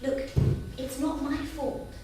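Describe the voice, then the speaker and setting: An actress playing a brisk, sharp-tongued but doting mother in a staged reading. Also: high-pitched, little pitch movement, a little hiss or hum. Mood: exasperated, sarcastic. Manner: brisk, switching between coaxing and scolding. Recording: very echoey theatre, distant mic.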